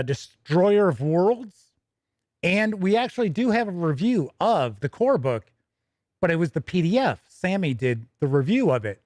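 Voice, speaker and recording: A man's voice: high-pitched, no room sound, studio quality. A man hosting a tabletop gaming livestream, talking in a chatty, conversational way with casual asides and self-corrections. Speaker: host of a tabletop gaming news show. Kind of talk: casual livestream chat and news reading.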